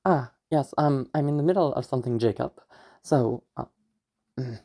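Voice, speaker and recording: Woman acting a deep, gravelly, menacing pious mother. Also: mellow. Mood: clipped, businesslike. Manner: condescending, taunting, drawn-out pauses and hums. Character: cruel, pious, domineering wealthy mother, contemptuous and menacing. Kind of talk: amateur audio roleplay monologue.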